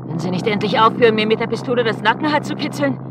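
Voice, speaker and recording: Woman acting cool pilot accomplice, highish lively voice, brisk, firm, radio drama, loud motor noise. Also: natural voice. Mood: tense, coaxing. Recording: loud engine noise behind voice. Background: loud motor drone.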